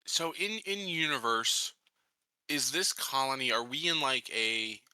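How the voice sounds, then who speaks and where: A man's voice: mid-range voice, bright, forceful tone, dry recording, low-quality recording. A man talking casually as a player in an online tabletop game, hesitantly working through his ideas aloud.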